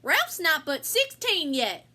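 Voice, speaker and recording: A woman in an amateur play as a fretful village wife and mother, talking in a folksy, drawn-out way. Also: very high-pitched, very animated, bright, forceful tone, heavily acted. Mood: fretful, resigned. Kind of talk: amateur one-act play acting. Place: filmed on a video call.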